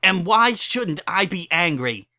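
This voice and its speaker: A woman's voice: lowish voice, big pitch swings, takes it slowly, pushed and bright, no echo, voice pushed up, full character voice. A woman voicing an angry, heartbroken young female pony in a dramatic story reading, impassioned and pleading.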